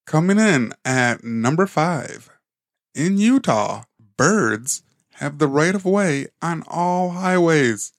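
A man's voice: fairly high pitch, animated, slow pace, no echo. A man co-hosting a podcast who laughs often and drops dry one-liners in casual chat. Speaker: podcast co-host.

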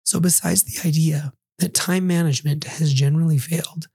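Hoarse, husky man hosting a podcast. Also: a little high, fairly even intonation, a little fast, darkish tone, no room sound. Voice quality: breathy, half-whispered in places. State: hoarse, recovering from losing his voice. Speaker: podcast host. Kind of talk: solo podcast book review.

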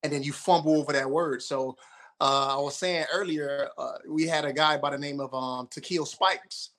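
A man's voice: on the high side, steady tone, normal speed, fairly bright tone. A man co-hosting a casual chat, giving encouraging advice in a mentoring way.

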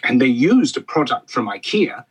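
Man as an eccentric professor, husky, swooping sing-song delivery, grandly self-assured. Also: medium pitch, very animated, moderate pace, low-quality recording. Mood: pompous. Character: eccentric half-Swedish male furniture professor. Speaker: guest expert. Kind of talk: comedy podcast interview.

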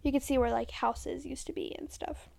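A woman's voice: sits high, fairly even intonation, normal speed, vocal fry, a little mellow. A woman co-hosting a podcast, reading history notes in a casual chat and stumbling over names as she corrects herself. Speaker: podcast co-host.

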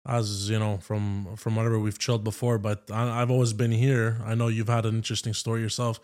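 A man from Canada hosting a podcast, upbeat and hyping up his guest with quick questions. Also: on the low side, little pitch movement, darkish tone. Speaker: podcast host. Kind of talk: casual interview chat.

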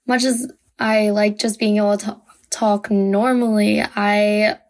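A young woman hosting a casual solo podcast, her voice raspy and hoarse from a cold, chatting loosely with lots of fillers. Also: mid-range voice, little pitch movement, slow pace, darkish tone. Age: young adult. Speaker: podcast host.

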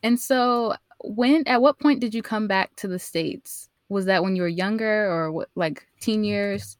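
A woman's voice: mid-range voice, measured pace, no echo, studio quality. A woman hosting a podcast interview, asking questions and affirming her guest often. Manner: warm encouraging listener, frequent affirmations. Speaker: podcast host. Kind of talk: casual interview.

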